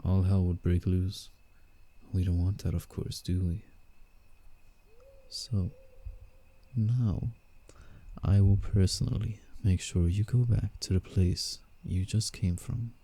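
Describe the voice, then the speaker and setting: A man's voice: fairly even intonation, exaggerated voice. A man playing a commanding, teasing alpha werewolf in a roleplay ASMR, with menace in his delivery. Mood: amused, authoritative. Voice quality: dark, gravelly low voice. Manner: slow, deliberate, teasing menace. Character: male alpha werewolf, predatory, teasing, commanding forest protector.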